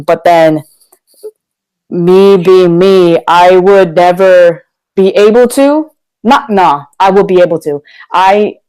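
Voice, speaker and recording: A man's voice: high-pitched, a little mellow, smooth, low-quality recording. A young man from Korea, a guest talking candidly and energetically in a casual podcast interview. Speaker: guest, motivational speaker.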